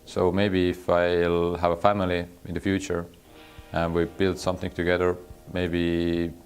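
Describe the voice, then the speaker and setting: Man, very deep, flat monotone, hesitant interview answers. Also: unhurried, clear, resonant tone, faint music behind. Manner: thoughtful, hesitant, many fillers.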